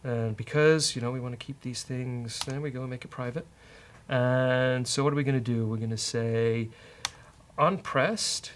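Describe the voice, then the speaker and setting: A man's voice: medium pitch, steady tone, normal speed, a little hiss or hum. A man hosting a casual coding show, bantering with a co-host and thinking aloud as he works.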